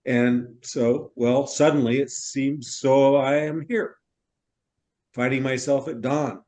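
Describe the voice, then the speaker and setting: A man's voice: mid-range voice, fairly even intonation, slow, a little mellow, natural voice. Man acting an effusive middle-aged novelist in stage drama. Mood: reflective, enthusiastic. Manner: theatrical, wordy, exclaiming. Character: effusive middle-aged male novelist, newly arrived from New York. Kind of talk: stage reader's theater acting.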